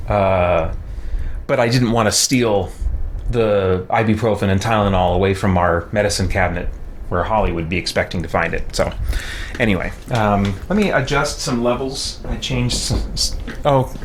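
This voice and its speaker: A man's voice: fairly low pitch, moderate pace. A man hosting a casual livestream from home, talking in a rambling, hesitant way as he explains himself. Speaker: livestream host. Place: indoors at home. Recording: clear background noise.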